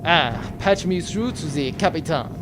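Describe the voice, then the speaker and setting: A man's voice: fairly high pitch, expressive, moderate pace, noisy background, close to an everyday voice. A man playing a fussy, geeky spaceship engineer in a comic audio drama. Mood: worried.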